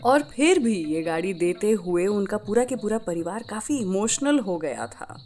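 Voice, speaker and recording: An Indian woman hosting a radio show, telling stories in an expressive, scripted way and switching between Hindi and English. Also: medium pitch, moderate pace, faint music behind. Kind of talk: scripted radio storytelling about classic film music.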